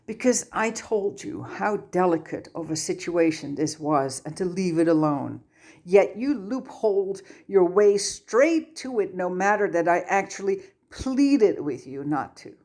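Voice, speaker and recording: A woman voicing a stern but fond male teacher in a story reading, firm, measured and dryly patient. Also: lowish voice, lively intonation, darkish tone, voice pushed up. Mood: stern, cold.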